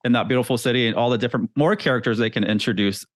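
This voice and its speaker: Friendly male host, fan-enthusiastic, mid pitch, dry close sound. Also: racing, dry recording. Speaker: interview host. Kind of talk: casual interview chat.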